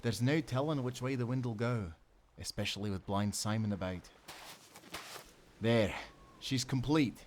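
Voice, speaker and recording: A man's voice: medium pitch, a little slow, darkish tone, slight background noise, lightly acted. A man playing a hearty, loyal sailor in an audio adventure, with an earnest, folksy nautical way of talking. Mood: encouraging. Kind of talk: audio roleplay acting.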